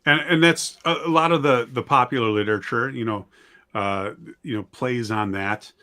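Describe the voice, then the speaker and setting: A man talking in a casual discussion, conversational and halting, telling things as anecdotes. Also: mid-range voice, bright, forceful tone.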